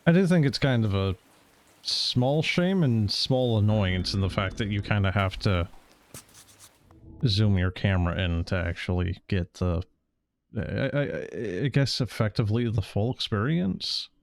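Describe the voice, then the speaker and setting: A man's voice: on the low side, measured pace, no room sound. A man doing casual game stream commentary in a hesitant way, stammering and repeating words as he goes. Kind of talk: casual stream commentary, sometimes reading text aloud.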